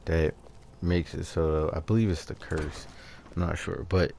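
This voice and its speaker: A man with a very low voice talks through his video game playthrough. He thinks aloud in a casual, halting way, with a gravelly fry in his tone and clear game ambience noise behind him. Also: fairly even intonation, a little mellow. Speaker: gamer narrating his own playthrough.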